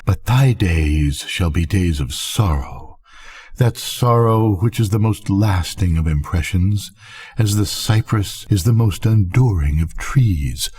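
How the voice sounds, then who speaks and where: Dying gothic wife, read by an older man, very slow, low husky voice, solemn, foreboding, storybook. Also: expressive, darkish tone, exaggerated voice. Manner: solemn, drawn-out, declamatory.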